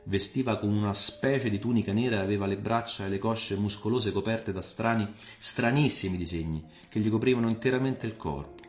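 A man's voice: fairly low pitch, brisk, hardly any pauses, echoey room, faint music behind. A man reading a scripted dramatic story for radio as its narrator.

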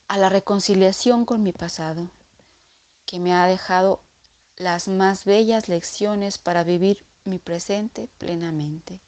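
A woman's voice: medium pitch, darkish tone. Woman reciting reflective affirmations.

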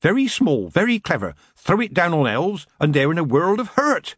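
A man's voice: on the high side, very animated, normal speed, slightly rough, no room sound, clean studio sound, pitched above the performer's own voice. A man voicing a shrewd old witch woman in a storybook, approving and emphatic.

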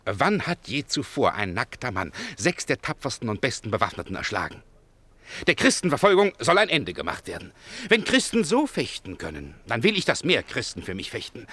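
An actor playing a vain, capricious Roman emperor in a radio play, pompous and swinging between bellowing and silky flattery. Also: male voice, fairly high pitch, normal speed, no echo, full character voice. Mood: awed, gloating, then exuberant. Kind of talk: classic German radio play acting.

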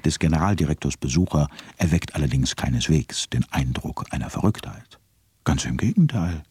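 Man doing a dry ironic narrator, very deep, dark, husky, radio play. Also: lively intonation, moderate pace, heavily acted. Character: ironic male commentator voice.

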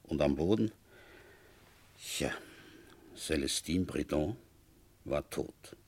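An actor playing a weary, methodical, firm police commissioner with a deliberate, authoritative way of speaking in a German radio crime drama. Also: male voice, rumbling low, expressive, darkish tone, breathy edge, full character voice. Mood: brooding, grim. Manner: deliberate, brooding, authoritative questioning.

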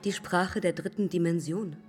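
A self-possessed young woman pilot acted by a woman in a radio drama. Her voice is slightly low and terse, breaking memories into short, independent-minded fragments. It rings with long reverb, with faint music behind. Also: steady tone, normal speed, darkish tone, close to an everyday voice. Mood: proud. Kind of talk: German public radio drama, lyrical dialogue.

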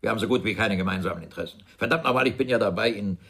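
A man's voice: lowish voice, very fast, creaky, fairly bright tone, husky, full character voice. An actor playing a bitter, sarcastic older father who blusters scornfully in a German radio crime drama. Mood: indignant, scornful. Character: sardonic, bitter, well-off father, widowed businessman.

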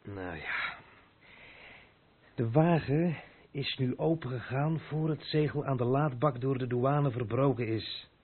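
A gruff lorry driver, reproachful, with a middling pitch and husky tone. The sound is the narrow, dull band of an old radio play. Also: male voice, slow pace. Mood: irritated, explaining. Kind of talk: Dutch radio drama acting.

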